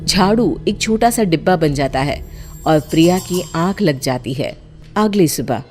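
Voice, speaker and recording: Low-pitched woman narrating a story over music. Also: lively intonation, unhurried, a little mellow.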